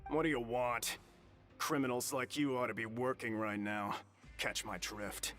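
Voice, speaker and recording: An actor playing a suspicious, stern prison guard in a video game, speaking curtly and with authority. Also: male voice, mid-range voice, fairly even intonation, measured pace, a bit bright, soft background music, lightly acted. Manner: curt, authoritative.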